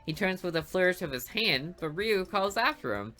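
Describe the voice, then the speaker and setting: A woman's voice: on the low side, expressive, normal speed, a bit bright, faint music behind. A woman reading a visual novel aloud for a let's play, with playful asides between the lines.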